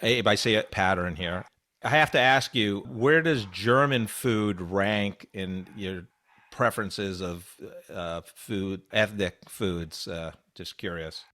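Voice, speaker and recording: Man, podcast host, very deep voice, eager, halting. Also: pushed and bright. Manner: eager, halting, many fillers. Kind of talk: podcast interview.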